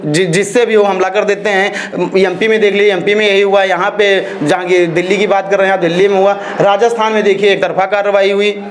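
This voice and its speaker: A man speaks in a notably high voice. He argues his opinion emphatically, repeating phrases and firing rhetorical questions as in an interview. Faint ambient noise sits behind him. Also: little pitch movement, brisk, hardly any pauses. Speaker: interviewee, social activist. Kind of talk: opinionated street-interview talk.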